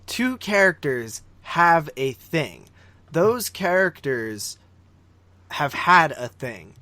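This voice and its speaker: A man with a slightly high-pitched voice speaks as a podcast co-host. He argues casually and emphatically, pressing his point with teasing insistence. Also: very animated, slow, pushed and bright, dry recording. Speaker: podcast co-host giving film reviews. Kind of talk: casual argumentative chat.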